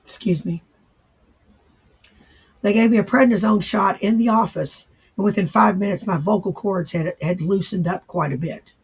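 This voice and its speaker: A man with a strikingly high voice, husky and worn from laryngitis. He talks in a loose, rambling, folksy way, swearing freely as he chats to the camera. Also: normal speed, rough recording. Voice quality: raspy. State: recovering from laryngitis, hoarse. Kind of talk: casual vlog reply.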